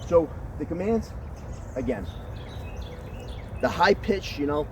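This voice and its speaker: A man with a deep voice explaining how to train his dog, in a casual, conversational way that keeps checking in with "okay?". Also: very animated, slow, a little mellow. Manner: conversational, often checks in with "okay?", jumps to a high praise voice. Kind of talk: casual outdoor training talk. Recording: distant mic with outdoor street noise.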